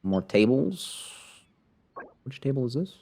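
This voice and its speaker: A man's voice: medium pitch, sing-song, slow, gravelly, mellow. A man giving casual live commentary as a game streamer, rambling and muttering to himself with playful sarcasm.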